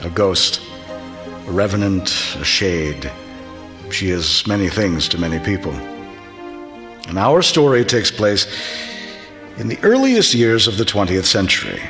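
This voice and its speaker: A male narrator with a deep voice introduces a play in scripted storytelling style. He is measured and portentous, with music playing behind him. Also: big pitch swings, a bit bright.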